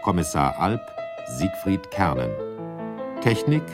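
A very deep-voiced man reads radio drama credits in a formal announcer style. His delivery is slow, over prominent keyboard music. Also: very animated. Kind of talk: formal scripted credits reading. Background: loud piano and keyboard music.

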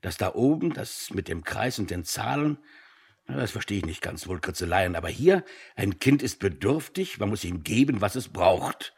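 An actor playing a brisk, self-important company director in a German radio drama, pompous and commanding. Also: male voice, fairly low pitch, very animated, vocal fry, breathy edge, full character voice. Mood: excited, scheming. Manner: pompous, commanding, talks over others.

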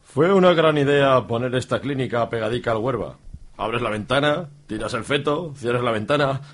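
A man playing a callous, flippant doctor in a comic radio soap, with a husky, cynical delivery. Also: medium pitch, moderate pace, lightly acted. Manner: breezy, cynical, rhetorical.

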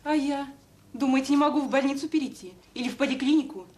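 A woman's voice: high-pitched, fast, darkish tone, echoey room, far from the mic, lightly acted. An actress playing a pushy, aggrieved female nurse who complains impatiently, in a Soviet radio drama.

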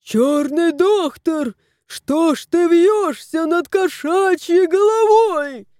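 A woman's voice: high voice, expressive, takes it slowly, dark tone, no echo, clean studio sound, pitched above the performer's own voice. A woman voicing a fat, theatrical tomcat who yowls and demands help. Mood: yowling. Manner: yowling, theatrical.

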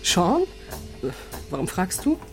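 A woman's voice: fairly low pitch, sing-song, slow, gravelly, faint music behind, full character voice. An actress playing a hesitant, easily flustered woman talking with her partner at home in a radio drama. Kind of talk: naturalistic radio drama dialogue.

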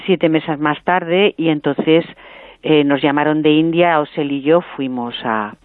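A woman's voice: low-pitched, unhurried, no room sound. Woman on a dry, muffled line. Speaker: interview guest. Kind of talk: personal storytelling in a radio interview.

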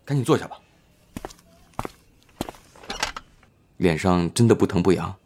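A man's voice: deep voice, lively intonation, fast, darkish tone, breathy edge, exaggerated voice. A man playing a caring, level-headed, protective colleague in an audio drama. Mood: concerned.

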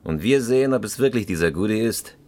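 A man's voice: lowish voice, lively intonation, fast talker, a little mellow, lightly acted. An actor playing a cool, commanding career criminal in a radio crime drama, terse and matter-of-fact as he gives orders. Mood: cool, calculating.

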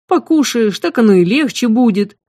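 A woman's voice: fairly high pitch, animated, normal speed, mellow, no room sound, clean studio sound, pitched above the performer's own voice. A woman reading an audiobook voices a huge motherly female cook with a drawling, sing-song folksy manner. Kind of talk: audiobook character voice.

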